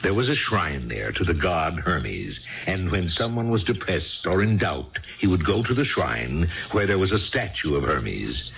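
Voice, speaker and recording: A very deep male voice on a dull old radio drama recording, a stuffy bookish husband. He is coldly impatient and pedantic, spelling things out with condescending sarcasm. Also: very animated, unhurried, vocal fry, few pauses, fairly bright tone, exaggerated voice. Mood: lofty, lecturing. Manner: pedantic, sarcastic, clipped precise wording. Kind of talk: 1970s radio drama acting.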